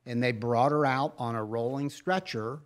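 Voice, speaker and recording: A man's voice: mid-range voice, normal speed. A man co-hosting a podcast in casual chat, talking in an enthusiastic, insistent way. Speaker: podcast co-host.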